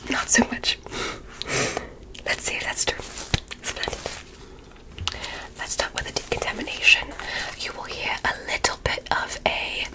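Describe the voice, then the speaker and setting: A woman voicing a polite, curious alien doctor in a whispered ASMR roleplay, gently formal as she explains things. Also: lightly acted, poor audio. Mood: curious, gently teasing, then delighted, welcoming.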